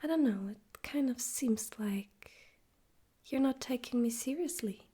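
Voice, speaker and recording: Demon overlord, played by a woman: breathy, husky ASMR whisper, unhurried, suave, amused, quietly menacing and condescending. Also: a little high. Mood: haughty amusement. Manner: slow, condescending, teasing, deliberate.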